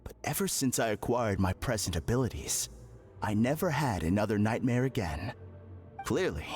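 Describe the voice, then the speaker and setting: Smug, condescending game character, male actor, theatrical delivery with animated pitch, music underneath. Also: moderate pace, natural voice. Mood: smug disdain. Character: smug, deluded male patient insisting he is perfect. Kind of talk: video game voice acting.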